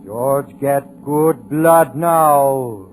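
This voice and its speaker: A man's voice: mid-range voice, extremely slow, low-quality recording. A man playing a simple-minded male killer in an old radio drama, talking in broken, droning repetitions. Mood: menacing. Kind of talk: 1940s radio drama acting. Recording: old radio recording with music behind.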